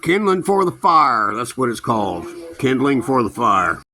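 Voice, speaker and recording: A man with a loud, gravelly voice, rambling through a personal story and acting out remembered conversations. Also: medium pitch, big pitch swings, moderate pace. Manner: acts out both sides of remembered conversations.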